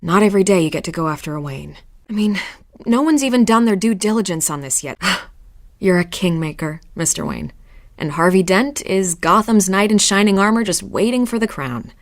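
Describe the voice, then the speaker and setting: An actress voicing a persistent, candid news reporter in a video game, blunt, informal and persuasive. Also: female voice, medium pitch, lively intonation, moderate pace, dry recording, studio quality, lightly acted.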